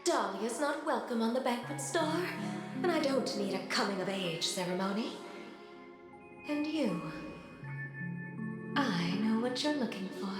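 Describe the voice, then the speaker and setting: A woman's voice: on the high side, lively intonation, unhurried, long pauses. A woman playing an elegant, self-assured villain in a video game, sinister and silky with mock courtesy. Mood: coolly taunting. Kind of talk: video game character acting. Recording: heavy echo, music underneath.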